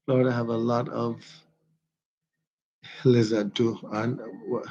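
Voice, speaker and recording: A man with a stuffy nose chats loosely into his phone, rambling from one story to the next and laughing often. His voice sits at a middle pitch, and the audio is dull and lo-fi. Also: flat delivery, takes it slowly, warm tone. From Jamaica. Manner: rambling, chatty, laughs often while telling stories. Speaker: vlogger. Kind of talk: casual livestream chat.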